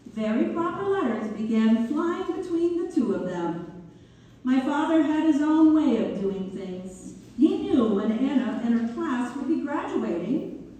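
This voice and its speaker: A woman acting an unhurried, tenderly devoted daughter, echoing. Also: sits high, animated, dark tone. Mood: fond storytelling. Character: Mabel Wagnalls, devoted daughter and author, reminiscing tenderly. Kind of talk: community theater dramatic read-through. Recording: distant, very echoey hall, faint noise.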